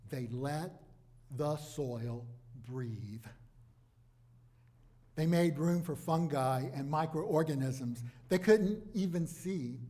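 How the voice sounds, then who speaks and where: A man preaching a sermon as a pastor, in deliberate, emphatic phrases broken by dramatic pauses. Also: fairly high pitch, a little mellow.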